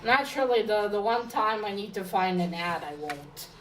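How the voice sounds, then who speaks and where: A woman's voice: medium pitch, fairly even intonation, normal speed, slight background noise, low-quality recording. A woman giving a casual, unscripted comic book review, rambling and hesitant, correcting herself as she goes, with blunt informal language.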